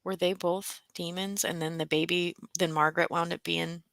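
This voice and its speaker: A woman co-hosting a book club livestream, her voice bright and forceful. She chats casually, reads out comments and thinks aloud with plenty of fillers. The sound is dry and close. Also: lowish voice, flat delivery, normal speed, gravelly, no echo. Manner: chatty, thinks aloud, frequent fillers and restarts. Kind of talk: casual chat, reading out viewer comments.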